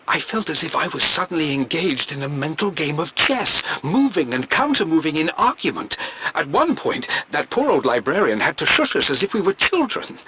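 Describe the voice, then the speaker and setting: A man's voice: a little high, noisy background. An actor playing a refined, introspective English scholar with an English accent, musing theatrically as he records a journal in a video game. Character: scholarly English gentleman, obsessive researcher, smitten and introspective.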